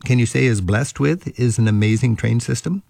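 An older man hosting a travel interview, warmly reminiscing and thinking aloud with small restarts. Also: mid-range voice, normal speed, creaky, no echo, clean studio sound. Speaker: travel radio show host. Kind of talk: conversational interview.

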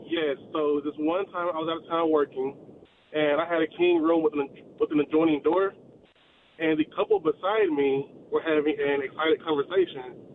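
Man, radio caller, hesitant, slightly high voice, tinny phone line, faint noise. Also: animated, moderate pace. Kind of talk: phone-in story.

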